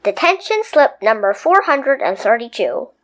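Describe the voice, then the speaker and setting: Expressive woman reading a picture book. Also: fairly high pitch, moderate pace. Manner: expressive, playful storytelling. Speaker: storybook reader. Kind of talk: children's picture book read-aloud.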